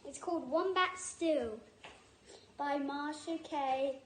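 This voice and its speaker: A woman reading a picture book aloud in a halting, deliberate way, stopping to add personal asides. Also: high-pitched. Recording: echoey room with faint noise.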